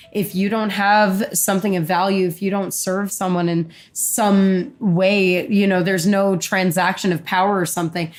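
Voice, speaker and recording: Chatty young woman reacting live. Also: medium pitch. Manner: chatty, excitable, reads chat aloud. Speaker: co-host, musician. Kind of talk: live game reaction stream.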